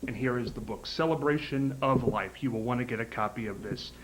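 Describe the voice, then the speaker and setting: Male interview host from Ohio, mid-pitched, polite and formal in his questions. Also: fairly quick, a bit bright, a little hiss or hum, low-quality recording. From Ohio, USA. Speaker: talk show host. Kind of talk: interviewing a guest.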